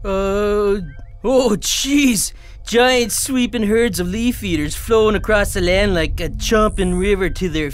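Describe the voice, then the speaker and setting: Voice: mid-range voice, close to an everyday voice. An actor playing a folksy, easygoing spaceship crewman with a hesitant manner in a comic sci-fi audio drama. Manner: folksy, hesitant, ends lines with "eh".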